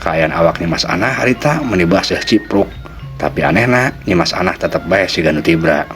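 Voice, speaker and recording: Deep voiced sundanese guy telling a folk story, dramatic narration, soft music in the back. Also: lively intonation, a little fast.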